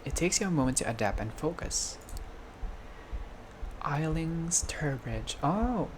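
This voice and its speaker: A video game player reading a visual novel aloud as narration, with casual personal asides and expressive delivery. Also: mid-range voice, measured pace, slight background noise. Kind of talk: playthrough narration with casual reactions. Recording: music behind the voice. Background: game music.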